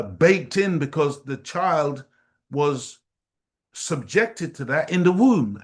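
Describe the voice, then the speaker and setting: A middle-aged man from Jamaica giving an impassioned community teaching talk, emphatic and preacher-like, repeating phrases for weight. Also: fairly high pitch. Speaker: study group speaker.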